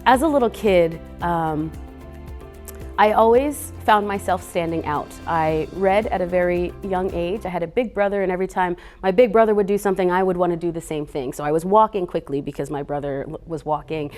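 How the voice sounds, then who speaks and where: A woman's voice: on the low side, fairly quick, darkish tone, soft background music. A woman speaking earnestly and unhurriedly to children as a guest reader, with a few fillers. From Boise, Idaho. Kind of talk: gentle talk to kids.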